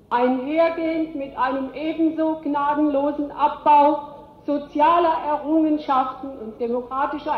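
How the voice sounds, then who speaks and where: Woman orator, emphatic and solemn, very measured delivery, thin echoing sound. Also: high voice. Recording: roomy, phone-like thin sound.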